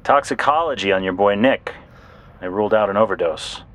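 An actor playing a matter-of-fact police detective in an audio drama, clipped and businesslike. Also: male voice, lowish voice, fairly quick, fairly bright tone, natural voice.

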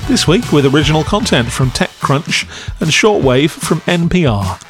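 A man's voice: fairly high pitch, animated, moderate pace, over music. A man narrating a scripted review as a podcast host, with a wry, critical, dry delivery.

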